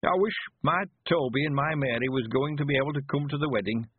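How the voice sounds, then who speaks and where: A man's voice: a little high, big pitch swings, moderate pace, husky, no echo, phone-quality sound, pitched above the performer's own voice, full character voice. A man voicing a cheerful, sprightly rustic in his nineties with a rural West Country accent, speaking emphatic, folksy dialect for an audiobook. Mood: wistful. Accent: rural English West Country. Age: 90s. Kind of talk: audiobook character voice.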